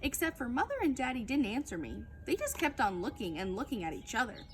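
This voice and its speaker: A woman with a fairly high, very lively voice reads a children's story aloud. Her delivery is expressive and emphatic, with clear background noise. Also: fast. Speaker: storytime reader.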